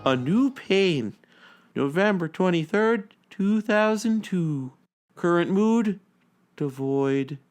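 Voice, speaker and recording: A man giving a deliberate, melancholic dramatic reading of a journal. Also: high-pitched, lively intonation. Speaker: reader in a dramatic reading of a fictional blog. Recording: music behind the voice. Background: background music.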